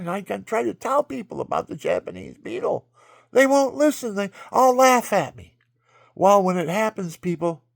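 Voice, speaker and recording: A man telling a rambling personal story in a monologue, jumping between loud outbursts and low mutters. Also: no room sound, clean studio sound. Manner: calls himself low-voiced.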